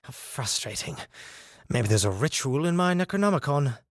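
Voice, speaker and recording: A man's voice: medium pitch, sing-song, moderate pace, natural voice. A man playing a diligent, frustrated practitioner of arcane magic in an audio drama.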